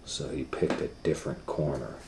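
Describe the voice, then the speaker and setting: A middle-aged man reading a picture book aloud for children, dropping to whispers and rising to loud excited voices for the story. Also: a bass voice, normal speed, gravelly, reverberant. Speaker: storytime reader.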